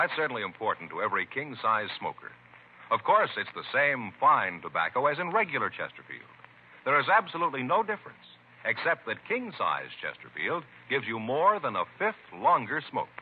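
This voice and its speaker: An American man working as a 1950s radio announcer, speaking in a measured, emphatic way. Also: medium pitch, breathy edge. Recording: muffled vintage radio recording.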